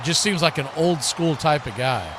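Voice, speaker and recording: A man's voice: fairly high pitch, lively intonation, normal speed, background noise. An American man giving live play-by-play football commentary as host, in short, clipped present-tense calls.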